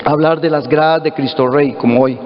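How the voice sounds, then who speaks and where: A man's voice: a little high, fairly even intonation, normal speed, slight background noise. A middle-aged man with a Costa Rican accent, preaching a sermon earnestly to his congregation. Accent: Costa Rican Spanish. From Costa Rica. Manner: earnest preaching, addresses listeners as brothers. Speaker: pastor. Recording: echoey hall, dull lo-fi audio.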